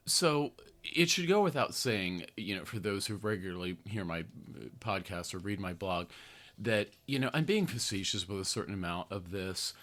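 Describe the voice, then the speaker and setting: An older American man hosting a podcast, reading his own article aloud in an earnest, deliberate way with conversational asides. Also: on the low side, a bit bright. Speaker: podcast host, therapist and activist writer.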